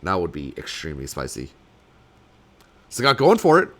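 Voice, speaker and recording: Low-voiced male caster losing his voice. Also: moderate pace. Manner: rambling, hedging, many fillers, bursts of excitement. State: losing his voice, a little sick. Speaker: tournament caster. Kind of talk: solo play-by-play commentary.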